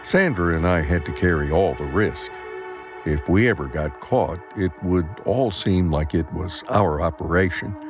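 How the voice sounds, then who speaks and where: Old farmer, low voice, weary, regretful, grave plain-spoken storytelling, audio drama monologue, faint music behind. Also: male voice, unhurried. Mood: rueful. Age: older.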